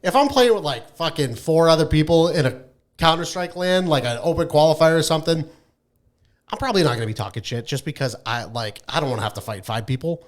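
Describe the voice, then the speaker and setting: A man's voice: fairly high pitch, fast. Profane, informal man talking gaming. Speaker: podcast co-host. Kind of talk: casual podcast chat about gaming.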